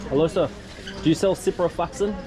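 A man's voice: fairly high pitch, fast, crowd noise. A young Australian man vlogging his travels, chatting enthusiastically about street food and the people he meets while out on a busy city street at night. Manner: enthusiastic, easily impressed, laughing often. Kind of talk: casual travel vlog chat. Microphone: action camera held at arm's length.

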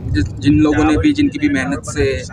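Man, fairly high voice, travel vlog host, chatty tour-guide manner, a bit off-mic in a car, loud road noise. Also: sing-song, normal speed, vocal fry, far from the mic. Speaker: vlogger. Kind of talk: casual travel vlog narration. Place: inside a moving car.

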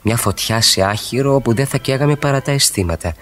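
An actor in a Greek radio play, playing an ardent, poetic and evasive would-be lover with a theatrical, wooing manner. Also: male voice, mid-range voice, fairly bright tone, faint music behind, close to an everyday voice. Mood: poetic, wistful.

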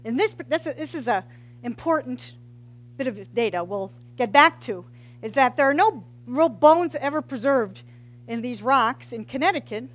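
A woman's voice: sits high, expressive, normal speed. A middle-aged woman, a geology professor, giving an informal public science talk into a headset mic in a large hall, joking and quizzing the audience. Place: large hall with brick walls.

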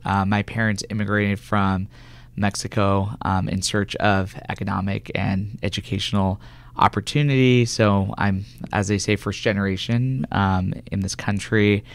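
A man's voice: lowish voice, flat delivery, unhurried, vocal fry, no echo. A man from California answering conversationally as an interview guest, a government health official, in a radio studio. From California, United States.

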